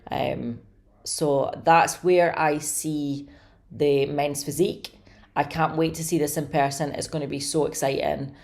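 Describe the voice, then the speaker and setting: A woman with a slightly hoarse, raspy voice, chatting casually and eagerly to camera about her contest predictions. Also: deep voice, steady tone, a little slow, darkish tone. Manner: chatty, enthusiastic, many fillers. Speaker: bodybuilding commentator.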